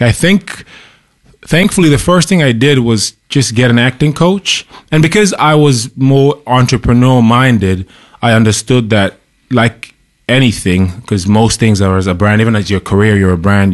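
A young man from Zambia, an actor, talking in a relaxed and chatty way as a guest in a radio studio interview. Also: lowish voice. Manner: relaxed, chatty, laughs easily.

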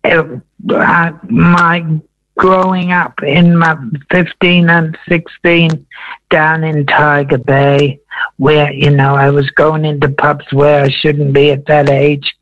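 A man in his eighties from Wales, a veteran entertainer telling his story in a radio interview, slow and meandering with many ums. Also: on the high side, level tone, no echo, dull audio. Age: 80s.

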